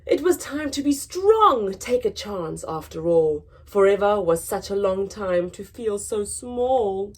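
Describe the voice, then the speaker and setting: A woman reading a children's picture book aloud in a dramatic, expressive storytelling manner, moving between hushed and emphatic delivery. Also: mid-range voice, takes it slowly, few pauses. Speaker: story time reader.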